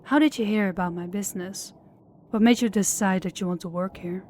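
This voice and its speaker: A woman's voice: mid-range voice, a little fast, darkish tone, a little hiss or hum, close to an everyday voice. A woman playing a sadistic female crime boss in an audio roleplay, speaking in a formal, controlled and menacing way. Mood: cool, businesslike.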